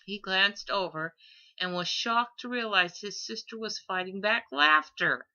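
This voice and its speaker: A woman reading an audiobook story as expressive scripted narration, on a lo-fi recording. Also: medium pitch, a little slow. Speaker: audiobook reader.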